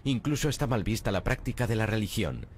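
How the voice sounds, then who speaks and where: A man narrating a history documentary in a measured, grave, scripted delivery. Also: on the low side, animated, a little hiss or hum. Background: music bed.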